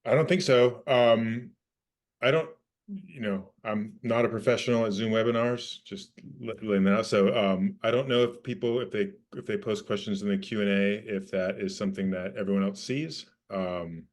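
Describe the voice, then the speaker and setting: A man's voice: on the low side, fairly even intonation, moderate pace. A man co-hosting a webinar on a video call, hesitant and unsure about the technology. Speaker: co-host. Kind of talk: casual chat.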